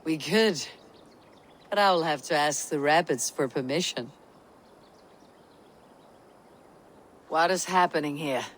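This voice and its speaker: A woman playing a calm Viking warrior leader in a video game, with a low husky voice and a measured, stately manner. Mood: wry, measured. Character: calm, steady Viking warrior woman leading her clan. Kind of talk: dramatic video game acting.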